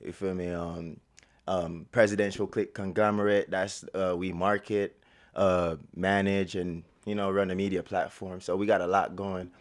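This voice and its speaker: Jamaican guy talking slangy and relaxed, kinda low voice, interview guest. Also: moderate pace. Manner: slangy, laid-back, leans on "you feel me". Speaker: guest, media platform owner. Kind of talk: casual interview chat.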